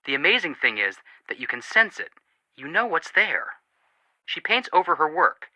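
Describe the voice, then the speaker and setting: An actor playing an earnest, sympathetic young amateur detective in scripted video game dialogue. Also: male voice, fairly high pitch, big pitch swings, normal speed, sharp tone, no room sound, heavily acted, poor audio. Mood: animated, sympathetic.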